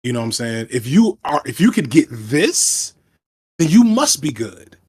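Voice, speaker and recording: A man in his thirties, a former teacher, talking over a headset on a video call in a reflective, fast, self-interrupting way. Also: mid-range voice, very animated, a little mellow, breathy edge. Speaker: guest, video essayist. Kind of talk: casual video call chat. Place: room at home indoors.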